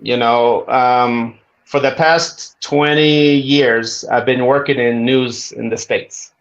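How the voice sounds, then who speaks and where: A man in his forties from Zambia, a guest telling stories in a relaxed, friendly way. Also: mid-range voice, takes it slowly, muffled recording. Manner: relaxed, friendly storytelling with asides. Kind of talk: casual interview chat.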